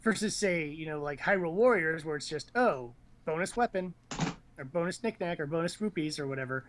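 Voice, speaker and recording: A man's voice: a little high, lively intonation, brisk, background noise. A man hosting a casual gaming chat, rambling and thinking aloud with blunt opinions. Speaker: host of a gaming news show.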